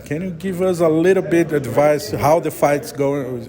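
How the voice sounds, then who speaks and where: A man from Brazil with a Brazilian Portuguese accent, interviewing a fighter in English, halting as he searches for words. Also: fairly high pitch, crowd noise. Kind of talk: press interview.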